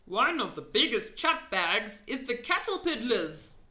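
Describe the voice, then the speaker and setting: A woman's voice: on the high side, big pitch swings, unhurried, sharp tone, roomy sound, voice pushed up, exaggerated voice. A woman voicing a big, friendly male storybook giant, earnest and easily wounded, with muddled folksy grammar and an emphatic delivery. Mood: informative.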